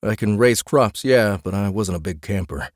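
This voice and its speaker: A gruff family man who farms an orchard, played by a male narrator, speaks low and bluntly in a fantasy story. He sounds irritated and hesitant, holding back exasperation. The recording is dry and close. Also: normal speed, no echo, natural voice. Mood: annoyed, blunt.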